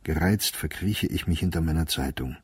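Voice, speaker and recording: A man reading a first-person diary as a scripted radio piece, in a measured, calm and detached way, recorded close in a studio. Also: a bass voice, breathy edge, no echo.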